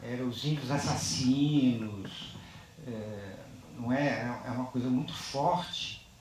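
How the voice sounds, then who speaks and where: A man's voice: mid-range voice, darkish tone, slight background noise. Distant, echoey man on a panel. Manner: halting, reflective, tag questions after most phrases. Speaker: filmmaker speaking on a post-screening panel. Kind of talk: unscripted panel discussion.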